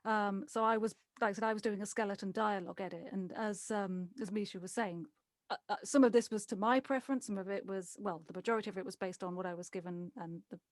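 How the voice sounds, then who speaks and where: A woman's voice: mid-range voice, fairly even intonation, fast talker, dry recording, studio quality. A woman, a producer talking conversationally in an interview about her work. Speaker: voice actor and producer.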